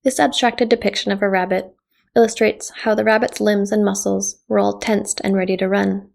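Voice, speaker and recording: A Canadian woman whose first language was Japanese, a visual artist reading her artist statement in a measured, reflective way. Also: medium pitch, level tone, gravelly, a little mellow. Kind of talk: scripted artist statement narration.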